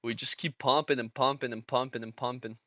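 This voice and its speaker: A man's voice: mid-range voice, steady tone, brisk, bright, forceful tone, dry recording, lo-fi sound. A young man hosting a casual trading live stream, laid-back and slangy, talking to his chat. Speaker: livestream trader and host.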